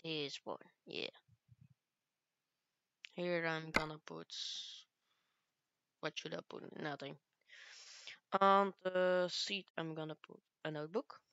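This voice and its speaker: Casting note: teen girl, low and unhurried, halting English, smooth dry close-mic sound. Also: animated, fairly bright tone, dry recording, dull audio. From Belgium. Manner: halting, searches for English words. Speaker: gaming youtuber. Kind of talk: casual gameplay commentary.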